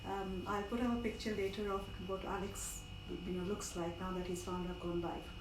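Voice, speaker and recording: A woman's voice: mid-range voice, steady tone, normal speed. Roomy, distant woman with a strained voice. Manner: chatty, digressive instruction with asides. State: partly lost voice. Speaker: cook hosting a live cooking class. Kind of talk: informal live cooking demonstration. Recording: roomy, distant mic with background noise.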